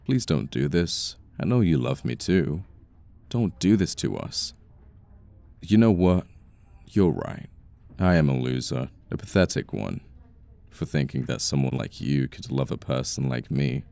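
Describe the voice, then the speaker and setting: A man's voice: very deep voice, lively intonation, unhurried, vocal fry, warm tone, no echo, exaggerated voice. A British man playing a haughty, bitter young boss who was once a nerd, in an intimate audio roleplay with formal, sardonic phrasing. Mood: hurt, pleading. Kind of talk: intimate ASMR roleplay acting.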